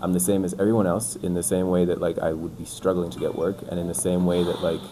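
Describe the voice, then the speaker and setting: Young male guest, very deep voice, casual rambling talker, noisy room. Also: fast talker. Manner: relaxed, rambling, says "like" and "bro" a lot. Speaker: podcast guest. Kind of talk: casual chat. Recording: noisy background.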